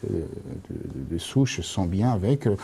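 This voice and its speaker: A man's voice: on the low side, lively intonation, gravelly, dark tone, breathy edge. A man hosting a studio debate, introducing the guests and asking questions with many stumbles and restarts. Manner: halting, many restarts and fillers. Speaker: debate host.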